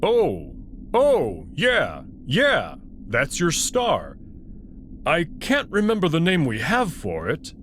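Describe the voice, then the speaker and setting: A man voicing a nervous, evasive alien wolf in a visual novel, speaking in a hesitant, halting way. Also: on the high side, huge pitch swings, a bit bright, a little hiss or hum, exaggerated voice. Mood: uneasy, evasive. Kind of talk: visual novel character voice acting.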